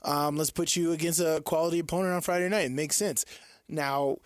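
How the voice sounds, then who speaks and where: A man's voice: a little high, steady tone, brisk, dry recording. A man co-hosting sports talk radio with slangy, jokey banter, recorded in a studio. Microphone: close studio microphone.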